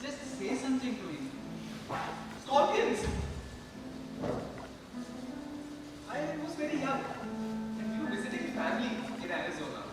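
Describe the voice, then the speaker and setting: A man's voice: very high voice, big pitch swings, fairly quick. A man acting an earnest young character in a theatrical stage drama. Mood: nervous, chiding. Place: stage in a large hall. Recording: very distant, echoey hall with music.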